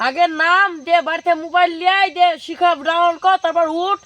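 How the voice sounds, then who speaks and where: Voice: extremely high pitch, little pitch movement, fast talker. A performer playing a pushy young villager in an amateur comedy skit, insistent and repetitive. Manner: insistent, repetitive, loudly dismissive. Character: pushy young man hawking an app as cure-all.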